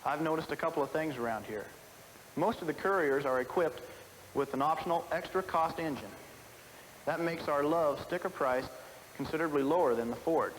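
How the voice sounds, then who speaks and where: A man's voice: on the high side, normal speed, big-room sound, a little hiss or hum, poor audio. A man speaking as a salesman, giving a matter-of-fact scripted testimonial on a 1970s film soundtrack.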